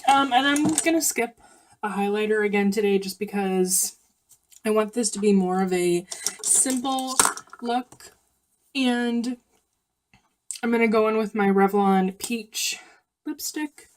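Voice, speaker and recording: A woman's voice: medium pitch, measured pace, pauses often, slight background noise. A young woman with a slight cold, chatting casually through a makeup tutorial with lots of ums and self-corrections. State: sniffly, coming down with a cold. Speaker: beauty vlogger.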